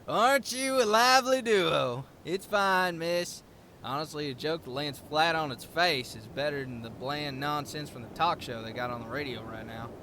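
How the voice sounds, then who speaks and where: An actor in an audio drama playing a blunt, good-natured truck driver with a folksy, wry way of talking. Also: male voice, fairly high pitch, unhurried, pushed and bright, a little hiss or hum. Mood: friendly, brisk.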